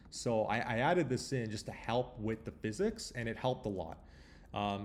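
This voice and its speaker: A man hosting a tutorial, talking informally through a walkthrough and explaining as he thinks aloud. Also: mid-range voice. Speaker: tutorial host. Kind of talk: casual screen walkthrough tutorial.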